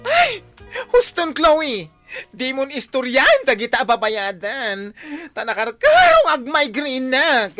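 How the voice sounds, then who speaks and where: Radio drama: overdramatic young friend, bright, fairly high, hugely animated. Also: female voice, slow, soft background music, full character voice. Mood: whining. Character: dramatic young woman friend dodging her debts.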